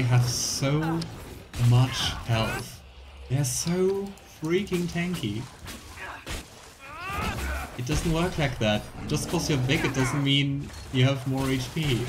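A man's voice: medium pitch, slow pace, background noise. A man in his thirties giving casual, chatty let's play commentary with a wry, mock-complaining manner. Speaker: game streamer. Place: small room indoors. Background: game music.

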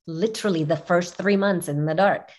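A middle-aged woman whose first language is Arabic, telling personal stories as an interview guest in an expressive way, repeating phrases for emphasis. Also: fairly low pitch, normal speed. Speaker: podcast guest, image consultant. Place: small furnished room indoors, video call. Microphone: video call, seated at webcam distance.

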